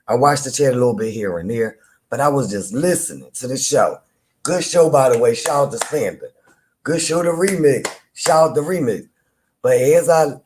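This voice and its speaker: A man with a deep voice, hosting a live chat room and teasing rivals in loose, playful banter with frequent laughter. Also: a little mellow. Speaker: live audio-room host. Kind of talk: casual trash-talking chat to listeners.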